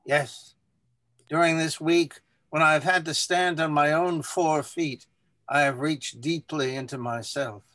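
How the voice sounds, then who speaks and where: A man's voice: fairly high pitch, steady tone, measured pace, sharp tone, dry recording. A man voicing an earnest, grandiloquent dog in a solemn, theatrical story reading. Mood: earnest, confessional. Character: earnest, grandiloquent male pet dog confessing his wildness. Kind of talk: dramatic literary story reading.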